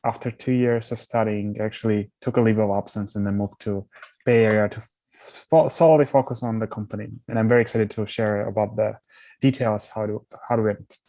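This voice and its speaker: Young man from Armenia, low dry voice, thoughtful and self-correcting; guest founder on an interview call. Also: steady tone, fairly quick, mellow, no echo. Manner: thoughtful, restarts words often. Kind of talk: casual interview answers.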